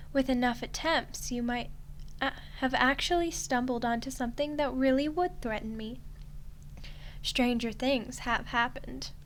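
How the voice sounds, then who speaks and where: A woman playing a slow, smug female archdemon. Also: high voice, slight background noise. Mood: quietly menacing. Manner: mocking, condescending, unhurried. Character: smug, teasing female archdemon toying with a human ruler. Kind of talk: roleplay ASMR acting.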